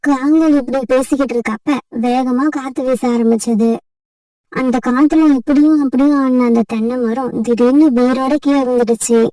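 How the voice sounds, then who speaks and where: A woman reads a scripted story for children. Her voice is high yet mellow, and the sound is dry and free of room echo. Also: far from the mic.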